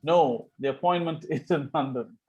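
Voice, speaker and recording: A man reading a flippant, charming young gentleman in a Victorian comedy table read, playful with formal phrasing. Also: fairly high pitch, normal speed, dull audio, close to an everyday voice.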